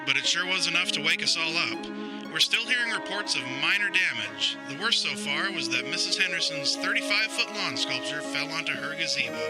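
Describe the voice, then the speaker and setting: Upbeat local radio newsreader male actor, higher-pitched, very animated delivery, prominent music bed. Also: lightly acted, low-quality recording. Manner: chirpy, announcing, folksy. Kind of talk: radio news bulletin in audio drama. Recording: loud music behind the voice.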